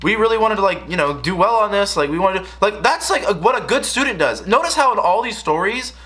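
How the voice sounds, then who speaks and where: A man's voice: high voice, fast. A young American man telling a casual storytime rant in an exasperated, slangy way. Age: young adult. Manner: exasperated, animated, slangy delivery. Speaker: video creator telling stories.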